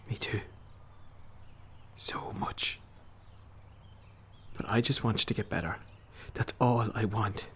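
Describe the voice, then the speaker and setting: Guilt-ridden young ex-boyfriend, radio drama. Husky, caring, hesitant and sincere. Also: male voice, fairly low pitch, steady tone, extremely slow, pauses often, fairly bright tone, exaggerated voice. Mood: guilty, tender. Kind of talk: naturalistic radio drama acting.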